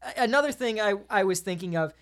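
A woman's voice: medium pitch, animated, quick pace. A woman chatting casually as a podcast panelist, often repeating words and restarting her phrases as she argues a point. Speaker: podcast panelist debating games. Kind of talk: casual chat.

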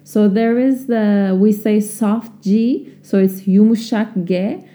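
A young woman with a Turkish accent, hosting a casual podcast lesson and talking unscripted with many pauses and fillers. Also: medium pitch, fairly even intonation, dark tone. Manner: unscripted, many ums and restarts. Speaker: language teacher, podcast host.

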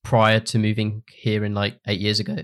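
A man hosting a casual podcast in a slightly low voice. He asks easygoing, friendly questions and laughs along with his guest. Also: monotone, moderate pace, a bit bright, smooth. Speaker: podcast host. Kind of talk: casual interview.

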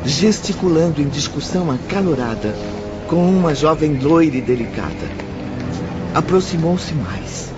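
A man giving measured, literary narration for a radio drama. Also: fairly high pitch, lively intonation. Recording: dull recording with music behind.

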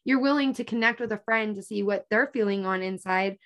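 A woman's voice: medium pitch, a little fast, fairly bright tone. A woman in her thirties chatting casually as an interviewer, informal, agreeable and rambling. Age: 30s. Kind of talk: casual chat.

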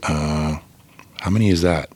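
A man who is a food writer, calmly giving his recommendations in a relaxed podcast chat. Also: a bass voice, unhurried, no room sound.

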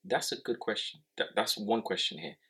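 A man's voice: lowish voice, normal speed, pushed and bright, husky. A man co-hosting a podcast in casual chat, teasing and pressing his partner with questions, English not his first language. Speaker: podcast co-host.

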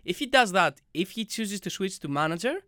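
A man's voice: high voice, expressive, brisk, bright, forceful tone, no echo, clean studio sound. A man whose first language is not English, co-hosting a casual football chat show and giving eager, opinionated takes. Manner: eager, opinionated, laughs easily.

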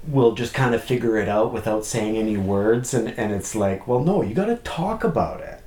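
Lower-voiced man, candid and reflective. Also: expressive, normal speed, mellow, reverberant. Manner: open, reflective, many restarts and "right?" tags. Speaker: podcast guest. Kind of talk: candid conversational chat.

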